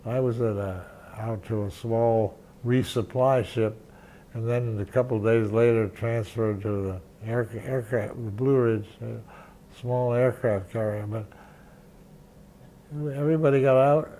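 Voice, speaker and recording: An older man, a veteran journalist, recounting memories in an interview, halting and restarting often. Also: medium pitch, steady tone, warm tone, slight background noise, low-quality recording. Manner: halting, many restarts and fillers. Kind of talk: reminiscing interview.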